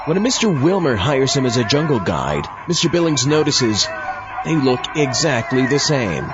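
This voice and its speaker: A man's voice: medium pitch, big pitch swings, normal speed. A man hosting a radio drama show, reading a scripted, dramatic introduction. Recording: dull sound with music bed. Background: music.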